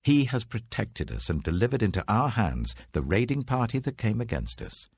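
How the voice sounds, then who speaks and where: A man voicing a firm, fair ancient war leader in a dramatic scripture reading, commanding and deliberate. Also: lowish voice, sing-song, vocal fry, slightly rough, pitched above the performer's own voice, full character voice. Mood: firm, admonishing.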